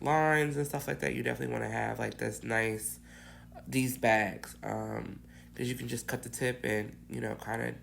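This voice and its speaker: A woman with a raspy, hoarse voice from bronchitis, casually rambling through a cookie-icing tutorial with many ums. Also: extremely low pitch, a little hiss or hum. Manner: rambling, lots of ums and restarts. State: hoarse, getting over bronchitis. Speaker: cookie decorator.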